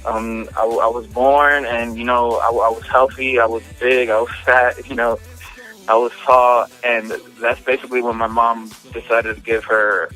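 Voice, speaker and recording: A man with a bright, forceful voice tells his own story as an interview guest. His talk is casual and slangy, halting often and doubling back on words. Music plays faintly behind the phone interview. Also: mid-range voice, flat delivery, poor audio. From United States, born in New York, raised in Miami. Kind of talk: casual personal testimony in an interview.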